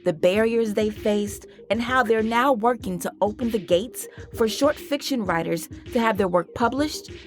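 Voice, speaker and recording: A woman's voice: fairly high pitch, moderate pace, few pauses, soft background music. An American woman hosting a podcast introduction, speaking informally and straight to the listeners in a chatty, slangy way. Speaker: podcast host. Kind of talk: warm-up podcast introduction, direct address to listeners.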